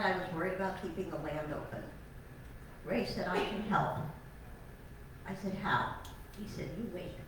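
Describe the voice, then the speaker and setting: An older woman tells her story to a meeting crowd in a chatty, heartfelt, self-deprecating way. She is far from the mic in a very echoey hall. Room noise comes through clearly behind her. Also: medium pitch, fairly bright tone. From born in Alabama, raised on Long Island. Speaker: cattle farmer, retired teacher. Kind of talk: personal storytelling to an audience.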